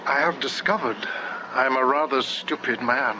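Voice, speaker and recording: A man's voice: medium pitch, moderate pace, natural voice. An actor playing a melancholy, kindly, self-deprecating man in a radio drama, speaking formally and reflectively. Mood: rueful. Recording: dull radio recording, noisy ambience.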